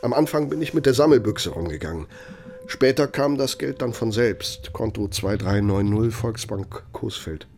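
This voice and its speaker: An actor in a radio drama playing an aging, bitter Nazi architect, speaking in a reproachful, deliberate, rhetorical manner. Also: male voice, lowish voice, darkish tone, slight background noise, natural voice. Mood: bitter, aggrieved. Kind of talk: German radio drama monologue.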